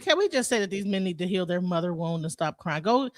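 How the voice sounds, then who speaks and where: A woman in her forties talking bluntly and profanely in a loud, mocking way during a casual discussion. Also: lowish voice, animated, fast talker, dry recording. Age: 40s.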